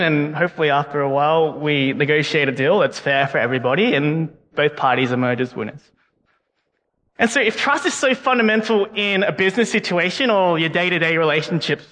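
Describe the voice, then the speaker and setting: An earnest young man delivers a sermon, often stumbling back over his words as he thinks aloud. His voice sits a little high for a man. The sound carries a long reverb from the hall around him. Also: a bit bright. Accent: non-Canadian English, unplaced. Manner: earnest, frequent word repeats. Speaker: guest preacher. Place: church hall.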